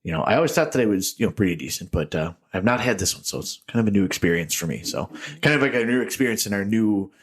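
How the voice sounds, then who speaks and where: A man's voice: on the low side, lively intonation, fast, hardly any pauses. A man co-hosting a casual beer podcast, rambling with jokey tangents and frequent laughs, recorded in a small echoey room with a tinny sound. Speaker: podcast co-host. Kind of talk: casual chat.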